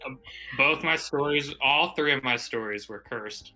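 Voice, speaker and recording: A man's voice: mid-range voice, a little slow, sharp tone, soft background music, low-quality recording. A man chatting casually as a participant in a group livestream. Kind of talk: casual chat.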